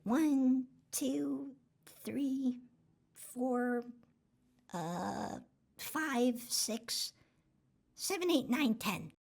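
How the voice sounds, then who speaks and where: A woman's voice: sits high, drawn-out words, creaky, pauses often, a little mellow, voice pushed up, heavily acted. A woman voicing an earnest, polite little boy in a children's storybook read-aloud. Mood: careful, counting.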